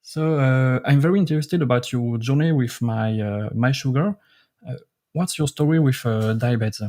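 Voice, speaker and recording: French-speaking host, mellow voice. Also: male voice, mid-range voice. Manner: careful, slightly halting non-native phrasing. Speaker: podcast host. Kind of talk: interview questions.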